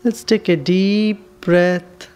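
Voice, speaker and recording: A woman leading a guided meditation with soothing, unhurried instructions and long pauses. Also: fairly low pitch, fairly even intonation, darkish tone.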